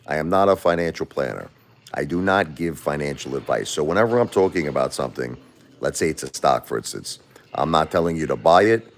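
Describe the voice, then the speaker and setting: A man from Brooklyn, hosting a casual livestream, blunt and repeating himself as he answers viewers and spars with critics. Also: extremely low pitch. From Brooklyn, New York. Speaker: livestream host. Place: home.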